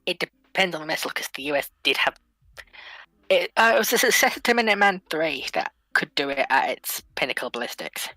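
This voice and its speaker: A woman in a casual livestream debate, argumentative and quick to counter the other side's points. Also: low-pitched, animated, sharp tone, no echo, rough recording. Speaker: livestream panelist.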